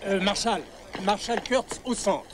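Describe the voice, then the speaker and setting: Un notable pointilleux. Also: male voice, high-pitched, measured pace, crowd noise. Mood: bossy. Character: fussy townsman staging a photograph. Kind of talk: French film dub.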